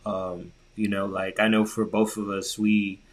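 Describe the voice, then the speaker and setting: A man co-hosting a podcast in a casual, halting way, thinking aloud and often repeating himself. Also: on the low side, flat delivery. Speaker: podcast co-host. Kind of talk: casual tech discussion.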